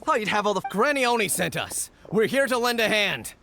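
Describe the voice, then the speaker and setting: A man's voice: sits high, sing-song, brisk, pushed and bright, slight background noise, exaggerated voice. An actor playing an eager, loyal young gang henchman in an anime-style video game, urgent and full of energy.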